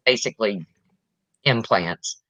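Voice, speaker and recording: A woman from the US Midwest with a deep, raspy voice, chatting bluntly as a podcast co-host. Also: slow pace, fairly bright tone, dry recording, poor audio. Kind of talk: casual chat.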